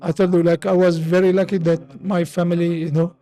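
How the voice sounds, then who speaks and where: High-pitched man with a Syrian accent. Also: flat delivery, warm tone. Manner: halting, frequent "you know". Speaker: interviewee, asylum seeker. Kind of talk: personal testimony.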